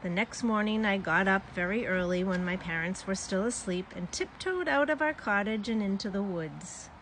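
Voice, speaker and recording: A woman reads a children's storybook aloud in a mid-pitched voice. She tells it expressively, drawing out words for young listeners. Some background noise sits behind her. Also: normal speed, fairly bright tone, poor audio.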